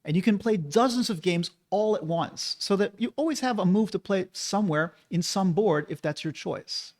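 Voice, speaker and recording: A man's voice: high voice, normal speed, a little mellow. A man hosting his own channel, talking casually and persuasively to the viewer, asking rhetorical questions. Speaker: board game channel host. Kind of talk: casual opinion monologue.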